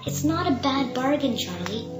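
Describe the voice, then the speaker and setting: An actor in a radio drama playing an eerily calm little boy who speaks with the knowing, deliberate manner of a grown man. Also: high voice. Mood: persuasive, cryptic. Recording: roomy echo under prominent music.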